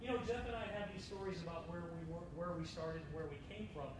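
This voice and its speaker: A man in his forties from Ohio, giving a chatty talk to student teachers and joking with the audience. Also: fast. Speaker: school district administrator. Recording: echoey room from far off, low rumble.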